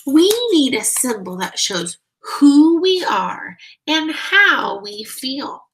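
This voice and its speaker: A woman kindergarten teacher giving a read-aloud lesson, explaining things simply and guiding young children with questions, with deliberate, emphatic phrasing. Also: high voice, sing-song, fairly bright tone, a little hiss or hum, low-quality recording.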